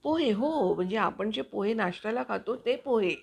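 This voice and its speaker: A woman hosting her own channel, chatting to viewers in a casual, explanatory way with hesitant pauses as she finds her words. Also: on the high side, lively intonation, gravelly, darkish tone, breathy edge. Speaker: actor and video channel host.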